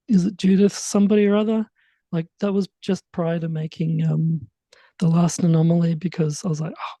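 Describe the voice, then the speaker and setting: A man's voice: fairly high pitch, vocal fry, warm tone, no room sound. A man, a writer-director guest chatting casually in an interview, rambling and full of fillers. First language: English.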